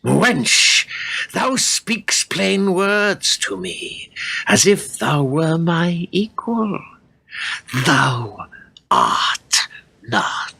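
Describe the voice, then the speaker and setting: A man's voice: a little high, sing-song, drawn-out words, creaky, sharp tone, husky, exaggerated voice. An actor playing a haughty, greedy warrior king and father in a radio play, with pompous, archaic delivery. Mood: scornful, gloating. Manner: pompous, declamatory archaic speech.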